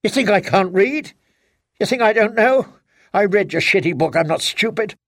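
A man voicing a bitter, furious wife who shouts accusations through tears, in an audiobook. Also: lively intonation, moderate pace, creaky, dry recording, clean studio sound, heavily acted. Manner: shrill, accusing, near screaming. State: crying. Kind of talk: audiobook character voice.

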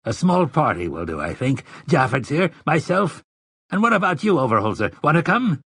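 A man voicing a patient, resolute old priest in an audiobook, with measured, folksy persuasion. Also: on the high side, very animated, a bit bright, breathy edge, dry recording, clean studio sound, voice pushed up, full character voice. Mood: firm, confident. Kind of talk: audiobook character dialogue.

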